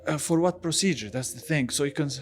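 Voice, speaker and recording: A man's voice: a little high, fast. Man explaining over faint music. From Lebanon. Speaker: interview guest, plastic surgeon. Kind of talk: conversational podcast interview.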